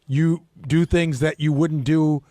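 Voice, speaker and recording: A man's voice: on the high side, fairly even intonation, slow, mellow, no echo, studio quality. A man co-hosting a radio show in casual, opinionated talk.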